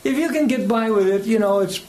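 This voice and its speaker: High-voiced American man preaching, hesitant. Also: brisk, darkish tone. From United States. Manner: hesitant, rambling, chuckles between phrases. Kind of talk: conversational sermon with personal anecdotes. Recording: clear background noise.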